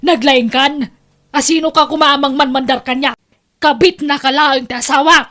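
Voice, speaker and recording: A woman's voice: sits high, fairly even intonation, moderate pace, fairly bright tone. A woman playing a long-suffering, betrayed wife and mother in an Ilocano radio drama, emotional and pleading. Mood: indignant.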